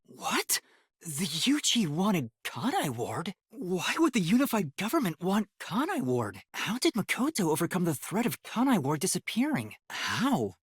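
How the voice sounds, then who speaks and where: A man playing an earnest, timid young detective trainee in an English video game, with a soft, boyish, slightly breathy voice and a hesitant, polite delivery. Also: fairly high pitch, no echo, clean studio sound.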